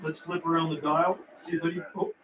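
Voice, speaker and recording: A man's voice: on the high side, little pitch movement, fairly quick, noisy background. A friendly, informal man from Canada doing casual live radio chat and call-outs as a CB operator. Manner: friendly, informal, repeats call signs and channel numbers.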